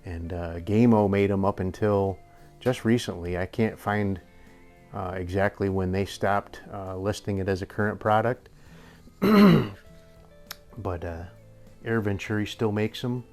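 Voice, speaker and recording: Older man, slightly hoarse and low. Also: a little slow, pauses often, darkish tone, faint music behind. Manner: rambling, chuckles often, self-correcting. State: a little hoarse. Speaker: airgun reviewer. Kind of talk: casual review commentary. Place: indoor workshop with tool wall. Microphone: camera at a few feet.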